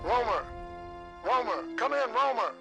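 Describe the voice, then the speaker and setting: An actor playing an eloquent, commanding and shrewd resistance leader in a video game, speaking in wordy, old-fashioned phrases. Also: male voice, very high-pitched, big pitch swings, a little slow, over music, full character voice. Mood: urgent.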